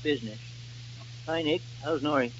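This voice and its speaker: Casting: man as nervous rustic amateur actor, homespun, high-pitched, on a muffled, hissy old broadcast. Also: moderate pace, a bit bright, natural voice. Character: folksy country farmer turned nervous amateur actor, a man. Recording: dull, noisy old broadcast recording.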